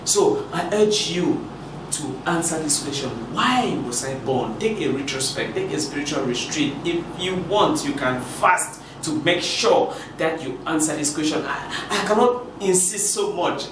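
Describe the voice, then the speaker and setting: Preachy guy from cameroon giving a motivational talk, kinda high voice, echoey room, mic far off. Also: expressive, measured pace. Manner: preachy, repeats words, many restarts. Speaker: motivational speaker. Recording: echoey room from a distance with noise.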